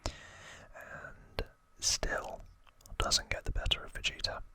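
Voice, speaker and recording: Male ASMR host, breathy bright whisper, unhurried and informal recap delivery. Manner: slow, hesitant, informal explaining.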